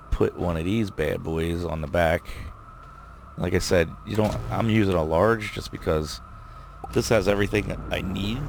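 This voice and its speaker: A man giving casual let's-play commentary, thinking aloud and rambling with fillers as he goes, his voice going a bit hoarse. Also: sits low, a little hiss or hum. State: losing his voice. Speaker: gameplay commentator.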